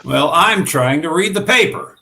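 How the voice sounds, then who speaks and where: A man's voice: a little high, expressive, moderate pace, vocal fry, pushed and bright, exaggerated voice. A man playing a grumpy, indifferent husband who is dry, curt and sarcastic, in a staged play reading. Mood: dry, dismissive.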